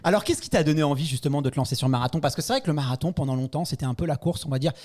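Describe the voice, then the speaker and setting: A man hosts a casual live interview in a fairly high voice that is a little hoarse. He talks quickly and informally, putting questions to his guests in a chatty way. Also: darkish tone. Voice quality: slightly hoarse, broken voice. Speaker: podcast host and interviewer.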